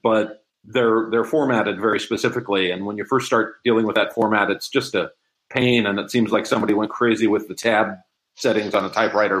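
Low-pitched man explaining in casual interview. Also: low-quality recording. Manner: explanatory, conversational. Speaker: interview guest, audio drama producer.

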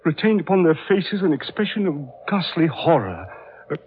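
An actor playing a distraught, horror-struck English gentleman, faltering in old-time radio drama style. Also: fairly high pitch, very animated, moderate pace. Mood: horrified, grieving. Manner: agitated, faltering, melodramatic. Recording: muffled vintage radio recording, faint noise.

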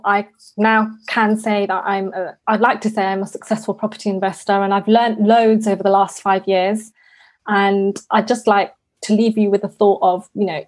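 Steady, earnest woman in her twenties. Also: mid-range voice. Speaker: guest, property investor. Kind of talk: prepared personal introduction.

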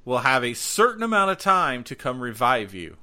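A man hosting a podcast, chatting casually about gaming news in an excitable, slangy way, repeating words for emphasis. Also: medium pitch, normal speed. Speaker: podcast host and game streamer.

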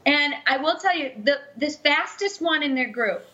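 A woman's voice: sits high, lively intonation, normal speed, sharp tone, lo-fi sound. A woman hosting a casual podcast chat from a studio, upbeat and chatty, laughing easily. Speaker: podcast host. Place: recording studio with guests.

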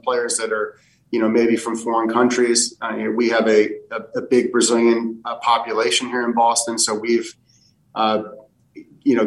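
A man's voice: medium pitch, a bit bright, far from the mic, poor audio. A man in his fifties from the United States, answering interview questions in a casual, rambling way with many fillers and restarts. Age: 50s. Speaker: soccer technical director.

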